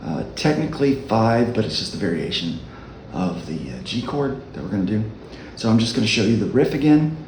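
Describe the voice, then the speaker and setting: Low-voiced man teaching dulcimer. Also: animated, a little mellow, reverberant, slight background noise. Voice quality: low speaking voice. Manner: unhurried, halting explanation. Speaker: dulcimer teacher and worship leader. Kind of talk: casual music tutorial.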